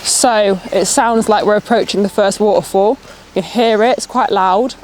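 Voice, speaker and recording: A young woman with a Nottingham accent, sniffly and a bit nasal, chatting excitedly as a travel vlogger while out walking. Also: medium pitch, normal speed, soft background music. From Nottingham, England. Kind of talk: chatty walk-and-talk vlog. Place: outdoors on a countryside walk, rain.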